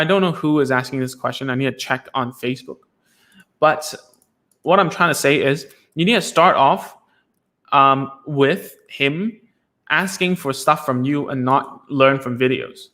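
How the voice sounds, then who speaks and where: Male host of a live Q&A stream, mid-pitched and loose, talks in rambling restarts. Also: fairly bright tone. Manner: rambling, many fillers and restarts. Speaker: speech therapist hosting a live stream.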